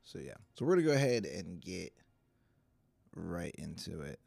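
A man with a hoarse, stuffy voice, giving a casual livestreamed Bible teaching in a chatty, self-deprecating way. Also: deep voice, big pitch swings, normal speed, warm tone, no room sound. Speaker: pastor.

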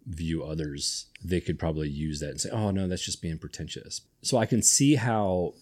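A man's voice: deep voice, normal speed, a little mellow. A middle-aged man hosting a podcast, chatting casually and rambling with restarts and enthusiasm. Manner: rambling, self-correcting, enthusiastic. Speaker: podcast host. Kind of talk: casual chat.

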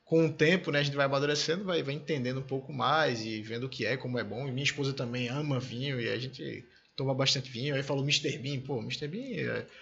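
A man's voice: medium pitch, fairly even intonation, fast talker, vocal fry, hardly any pauses, fairly bright tone, dull audio. A man in his thirties from Brazil hosting a casual conversation, telling enthusiastic anecdotes about his family.

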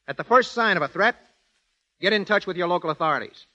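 A man gives a prepared, explanatory talk on 1930s radio. His voice is high and bright with precise delivery, and the recording is dull and lo-fi. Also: expressive, fast. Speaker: guest detective.

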